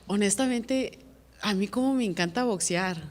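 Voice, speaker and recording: A woman's voice: medium pitch, moderate pace, in a large hall. An American woman fighter answering reporters' questions at a media day, earnest and plainspoken, often repeating words as she searches for them. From United States. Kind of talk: press conference answers.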